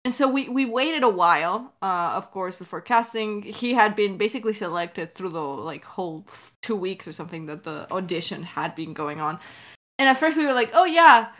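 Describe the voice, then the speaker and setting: A woman talking casually as a creator about a production, effusive and halting, with plenty of swearing. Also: mid-range voice, expressive, a bit bright. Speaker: podcast creator answering questions. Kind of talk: casual behind-the-scenes discussion.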